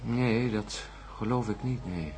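An actor playing an earnest, insistent astronaut with a bold theory in a Dutch radio drama. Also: male voice, fairly low pitch, slow pace, crowd noise.

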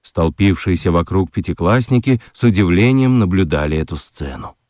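A man narrates a children's radio story in an expressive, scripted style. His voice is low and swings widely in pitch, recorded close and dry. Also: moderate pace, no room sound. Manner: expressive, dramatic reading.

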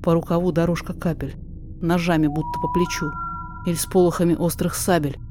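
A woman reading a rhymed story aloud as theatrical, deliberate dramatic narration. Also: fairly low pitch, level tone, background noise. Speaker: podfic reader. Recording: music behind the voice.

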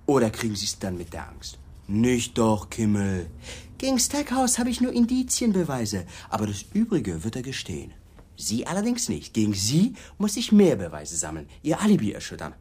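An actor in a German radio crime drama playing a pushy police detective who is mock-polite, probing and slyly insinuating. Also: male voice, mid-range voice, wildly swooping pitch, moderate pace, dark tone, husky. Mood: needling.

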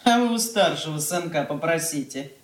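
An actress in a radio drama playing a blunt family nanny, dour, grumbling and reproachful. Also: female voice, low-pitched, animated, moderate pace. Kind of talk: Russian stage-style radio drama acting. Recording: distant, echoey studio pickup.

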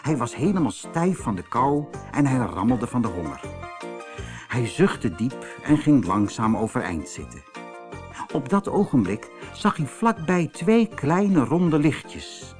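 Animated male storyteller over music. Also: mid-range voice, measured pace. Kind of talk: expressive children's audiobook narration.

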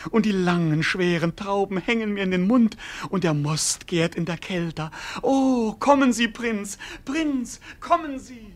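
High-pitched, animated whining jester. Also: male voice, unhurried. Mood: rapturous. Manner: exclamatory, mock-lamenting, swinging between groans and grandiose outbursts. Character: theatrical male jester servant, whining, cynical, mock-philosophical. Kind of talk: classic German radio play acting.

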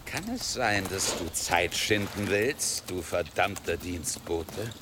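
A man playing a gruff, suspicious leader of mounted men in an audio drama, curt and contemptuous. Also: deep voice, takes it slowly, noisy background. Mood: suspicious contempt. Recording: outdoor ambience with horses behind.

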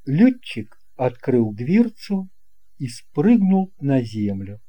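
A man narrating a children's tale as an audiobook reader, in a measured, expressive way. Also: medium pitch, highs cut off. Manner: slow, measured, expressive reading.

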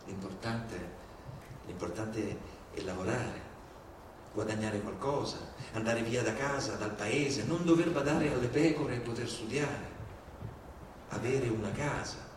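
A man performing a theatrical civic monologue, deliberate and rhetorical, with indignation and dramatic pauses. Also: mid-range voice. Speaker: stage performer. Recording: very echoey, distant, clearly noisy.

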